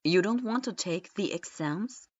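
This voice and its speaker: A woman's voice: lowish voice, expressive, unhurried, husky, no echo. A woman voicing a weary, long-suffering adult male ninja teacher who sounds exasperated. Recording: dull lo-fi recording.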